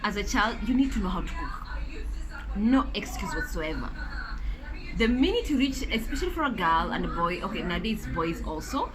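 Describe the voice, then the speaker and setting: A woman's voice: mid-range voice, sing-song, moderate pace, noisy background. Chatty woman vlogging over breakfast. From Kenya. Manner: chatty, explains at length with many fillers and restarts. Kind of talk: casual story time chat while eating. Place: home, noise behind.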